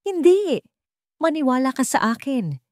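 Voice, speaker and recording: A woman voicing a gentle, vulnerable pregnant young woman with an earnest, trembling manner in an audio love drama. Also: sits high, very animated, measured pace, dry recording, studio quality, pitched above the performer's own voice. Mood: pleading. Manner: soft, trembling, pleading.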